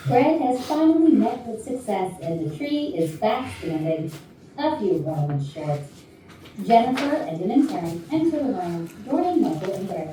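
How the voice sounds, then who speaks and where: A woman's voice: mid-range voice, sing-song, moderate pace, dark tone. A woman reading out stage directions as scripted narration at a play rehearsal. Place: indoor rehearsal room. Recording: very echoey rehearsal room, distant mic, noisy.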